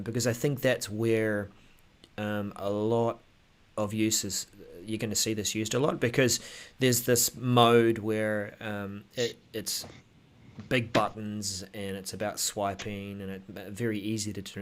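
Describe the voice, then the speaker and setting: Male show host, slightly low register, conversational and halting, explaining tech news off the cuff. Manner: halting, hesitant, thinking aloud. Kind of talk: casual tech update talk.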